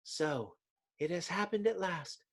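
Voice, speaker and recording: A man's voice: high-pitched, lively intonation, measured pace, pitched above the performer's own voice, exaggerated voice. A man voicing a resolute, secretive young woman superhero in a dramatic comic-book reading. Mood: solemn resolve.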